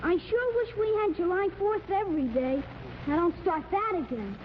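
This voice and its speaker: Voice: very high-pitched, animated, normal speed. A young performer playing a bored, blunt little boy in a 1940s radio comedy. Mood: wistful. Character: wisecracking, bored little boy, spoiled by presents. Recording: noisy, muffled 1940s radio recording.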